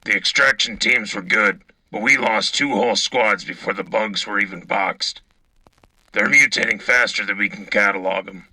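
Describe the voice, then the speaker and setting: A man playing a gruff, cynical battle-hardened soldier co-hosting a sci-fi war radio drama, gravelly and raspy, terse and sardonic. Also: on the low side, normal speed, sharp tone, dry recording, full character voice, low-quality recording. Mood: grim, weary. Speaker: radio co-host reporting from the front.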